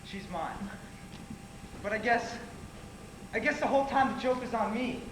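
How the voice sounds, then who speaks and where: Casting: male actor as wounded, earnest young man, high voice, stage drama; echoey, noisy theater capture. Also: lively intonation, normal speed. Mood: bitter, wounded. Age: young adult. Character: hurt, earnest young man betrayed by friends. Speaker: stage actor in a one-act play. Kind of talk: amateur stage acting, projected dialogue. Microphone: distant audience camera.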